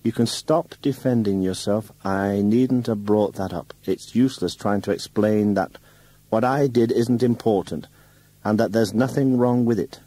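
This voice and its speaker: A man reading a novel aloud, putting on the voice of a young woman who speaks with low, controlled contempt and turns to sharp accusation. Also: measured pace, no room sound, low-quality recording. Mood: weary. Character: bitter scornful young woman lashing out.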